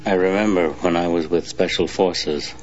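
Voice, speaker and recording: An actor playing a brooding, menacing older renegade army colonel, speaking low and slow in a half whisper, in a film clip. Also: male voice, fairly even intonation, close to an everyday voice. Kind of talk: film dialogue. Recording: muffled film audio with background hiss.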